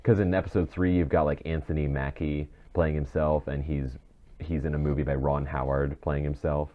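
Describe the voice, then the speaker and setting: Very deep-voiced man, rambling podcast host. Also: little pitch movement, normal speed. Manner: rambling, repeats and restarts phrases. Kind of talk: casual chat about film and TV. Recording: dull muffled mic with faint noise.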